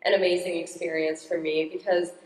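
A teenage girl with a naturally loud, upbeat voice speaks into a handheld microphone. Her slightly low voice rings around a large church sanctuary with long reverb. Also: level tone, brisk. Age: teens. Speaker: young parishioner guest. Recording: echoey church hall.

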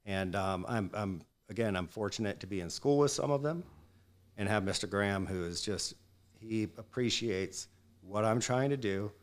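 Man, low pitch, earnest barber student, lots of ums, podcast panel. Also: normal speed. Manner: earnest, filler-laden. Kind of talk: casual panel discussion. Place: barbershop event space, indoors.

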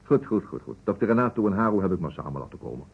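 A jovial, probing investigator in an old radio play. He has a low, husky voice with very lively intonation. The sound is muffled and narrow. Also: very fast, exaggerated voice. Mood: genial. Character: genial, wry investigator, chatty and probing. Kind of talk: vintage Dutch radio drama acting.

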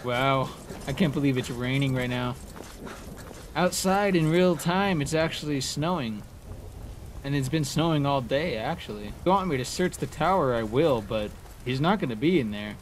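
A man's voice: medium pitch, animated, normal speed. A man giving casual, informal and sweary commentary as he plays a fantasy video game. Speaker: gaming video creator. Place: indoor room, webcam gaming setup. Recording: noisy, game sounds behind voice.

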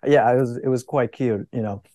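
A man's voice: mid-range voice, fairly quick, mellow, no room sound. A young American man giving conversational opinion commentary as a guest on a talk show. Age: young adult. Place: book-lined room indoors.

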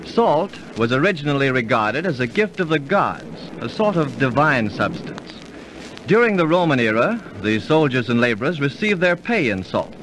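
An actor playing a knowing storyteller who explains things formally in 1930s radio style. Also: male voice, medium pitch, sing-song, moderate pace, natural voice. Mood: formal, instructive. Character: knowing, instructive male storyteller and dining companion. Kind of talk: 1930s radio narration and acting. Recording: slightly noisy 1930s radio recording.

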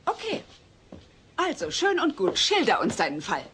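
Wry mother with lively intonation. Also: sits high, normal speed, slight background noise, full character voice. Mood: brisk, wry. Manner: firm, dry, sceptical. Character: no-nonsense, wry mother presiding as household judge. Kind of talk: German dubbed comedy audio drama.

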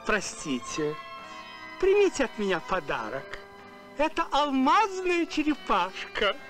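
A man voicing a sly, fat, jolly space villain in an animated film, with an ingratiating, theatrical manner. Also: extremely high pitch, takes it slowly, faint music behind, heavily acted. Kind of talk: Soviet animated film acting.

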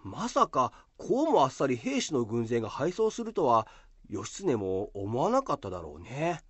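A man's voice: mid-range voice, wildly swooping pitch, normal speed, breathy edge, no echo. A man playing an earnest, modest schoolboy who loves history, explaining things eagerly in an amateur radio drama.

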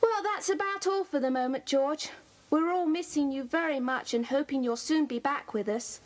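Audio drama role: British schoolgirl adult female actor, high voice, earnest and efficient. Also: normal speed, close to an everyday voice. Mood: earnest reporting. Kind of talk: children's audio drama acting.

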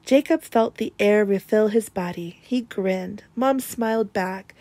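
A woman reading a children's picture book aloud in an expressive narrating style. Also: medium pitch, unhurried, dry recording. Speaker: storybook reader.

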